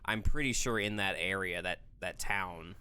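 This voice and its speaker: A man's voice: low-pitched, normal speed, a loud crowd. A man chatting casually and telling rambling anecdotes as a co-host of a gaming show. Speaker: video game show co-host. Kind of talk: casual chat.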